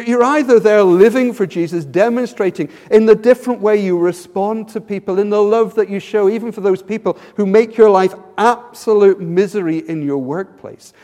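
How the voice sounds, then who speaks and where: An English man preaching with a high voice, earnest and rhetorical, who often restarts his phrases. His sermon rings with the long reverb of a church hall. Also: dark tone. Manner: earnest, rhetorical questions, repeats words when starting phrases.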